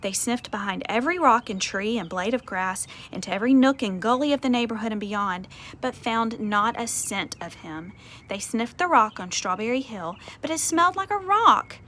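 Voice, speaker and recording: A woman reading a picture book aloud to children outdoors, expressive, with conversational explanatory asides. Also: on the high side, normal speed. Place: outdoors on a porch. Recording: faint outdoor ambient noise.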